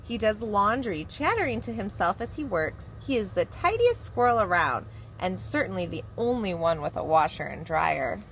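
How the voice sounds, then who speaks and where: A woman preschool teacher reading a picture book aloud to young children in a warm, expressive storytelling manner. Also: mid-range voice, moderate pace, few pauses, slight background noise, poor audio.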